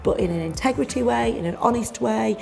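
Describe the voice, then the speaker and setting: A woman's voice: fairly high pitch, a little fast, vocal fry, dark tone, soft background music. A woman speaking as a relationship coach, explaining informally and addressing the listener directly in an emphatic, conversational way. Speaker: relationship coach promoting a seminar. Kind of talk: informal explanatory promotional talk.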